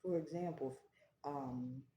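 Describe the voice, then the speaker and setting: A woman with a very deep, dark and mellow voice leads a slow, prayerful tarot reading. She speaks softly in an echoey room with faint background noise. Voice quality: low voice. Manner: slow, prayerful, soft-spoken. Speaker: tarot reader. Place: home, early morning.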